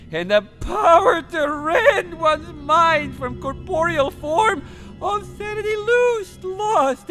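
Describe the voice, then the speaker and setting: An actor playing a cackling ghostly storyteller trapped in a record, reciting rhymes with laughs slipped between the words, over a music bed. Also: male voice, very high voice, a bit bright, full character voice. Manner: rhyming, theatrical, laughing through lines. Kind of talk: radio play acting.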